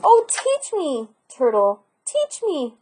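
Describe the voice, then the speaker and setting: A woman's voice: sits high, huge pitch swings, slow, a little mellow, a little hiss or hum, pitched above the performer's own voice, exaggerated voice. A woman voicing an earnest, pleading young boy in a storybook read aloud.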